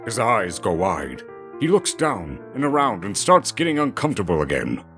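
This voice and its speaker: Animated male story narrator over music. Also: fairly low pitch, moderate pace. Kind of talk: dramatic scripted story narration.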